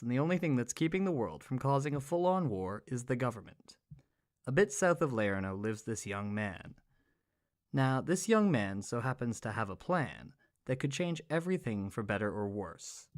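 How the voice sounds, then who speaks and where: A man narrating a scripted story as the narrator of an audio drama. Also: mid-range voice.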